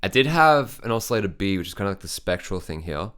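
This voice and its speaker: Deep-voiced man walking through synth patches. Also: very animated, quick pace, a bit bright. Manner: loose, filler-heavy thinking aloud. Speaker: music producer. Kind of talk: casual software tutorial.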